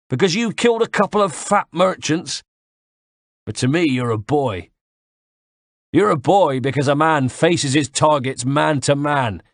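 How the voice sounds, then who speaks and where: Guy doing a raised, wheezy west country soldier, all sneer, pitched way up from his normal voice. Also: no room sound, clean studio sound, lightly acted. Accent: West Country English. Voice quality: wheezy, out of breath. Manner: sneering, taunting. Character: gruff middle-aged male soldier, sneering and scornful.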